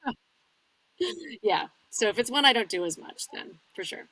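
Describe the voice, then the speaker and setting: A woman's voice: mid-range voice, expressive, normal speed, pushed and bright, no room sound, poor audio. A North American woman chatting casually as a podcast guest and audiobook narrator, telling stories with little acted-out asides.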